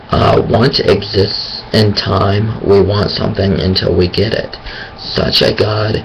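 Hoarse, unhurried man with a creaky voice, halting and self-correcting. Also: low voice, a little hiss or hum, low-quality recording. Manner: hesitant, thinking aloud with many pauses and restarts. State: raspy voice. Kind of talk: casual monologue on faith.

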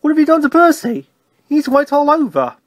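A man voicing a brisk, helpful railway signalman in a children's storybook, speaking in exclamations. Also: very high voice, lively intonation, a bit bright, no room sound, pitched above the performer's own voice, heavily acted. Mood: surprised, brisk.

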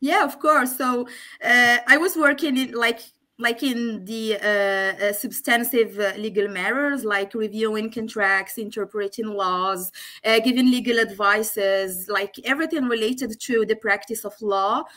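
A woman in her thirties from Morocco, a guest on a video call, speaking as a with hesitant pauses and restarts. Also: on the high side, sharp tone. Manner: hesitant, many fillers and restarts. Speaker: guest, legal operations specialist. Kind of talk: casual video-call interview. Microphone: video call, laptop camera.

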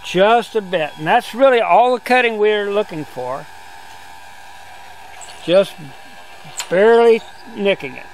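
An older man giving a relaxed, step-by-step outdoor demonstration as a sawmill instructor, talking in a plain, easygoing way. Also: high-pitched, slow, long pauses, a little hiss or hum, rough recording. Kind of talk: hands-on demonstration. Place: outdoors on a grassy lawn.

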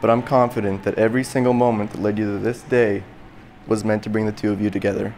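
A young man giving a heartfelt wedding officiant speech in a barn, sincere and measured. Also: lowish voice, little pitch movement, darkish tone, slight background noise. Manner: sincere, measured, earnest delivery. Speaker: wedding officiant, brother of a bride. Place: large open barn venue, indoors.

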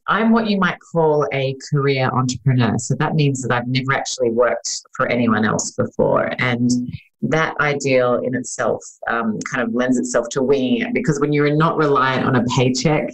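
A woman's voice: extremely low pitch, poor audio. An Australian woman in her forties telling her story as an interview guest, chatty and full of fillers, on a video call with a podcast mic. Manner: chatty, lots of "um" and "you know". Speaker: guest entrepreneur and author. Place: home room by a plant wallpaper, video call. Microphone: podcast mic in front.

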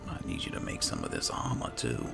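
A man's voice: quick pace, over music. A man giving casual roleplay commentary over a video game, musing and thinking aloud. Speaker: gaming video host.

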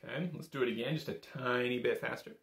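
Male instructor, thirties, informal and encouraging, mid-pitched, teaching step by step in an echoey room. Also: fast talker, creaky, fairly bright tone. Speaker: bagpipe instructor. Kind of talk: casual step-by-step music lesson. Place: small indoor home workroom. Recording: roomy, echoing small-room sound.

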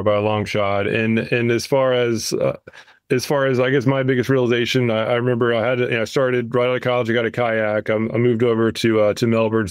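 A man's voice: mid-range voice, no echo. A man co-hosting a podcast, talking casually and anecdotally, often repeating words as he explains. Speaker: podcast co-host.

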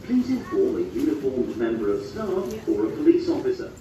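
A man's voice: a little high, wildly swooping pitch, brisk, vocal fry. A man making a formal public announcement over a station address system. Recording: very echoey distant tannoy, muffled, noisy station.

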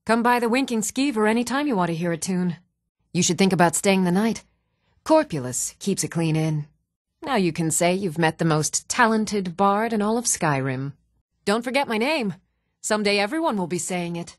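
An actress playing an adult townswoman in a fantasy role-playing game, speaking in an even, measured, clearly enunciated way. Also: medium pitch, no echo, studio quality, close to an everyday voice. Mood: polite, welcoming. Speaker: video game voice actor. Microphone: close, dry studio microphone.

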